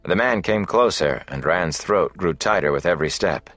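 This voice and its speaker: A man with a low voice reads a fantasy novel aloud. His narration is measured and dramatic. The recording is dry, with faint music underneath. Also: expressive, a bit bright, no echo. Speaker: audiobook narrator.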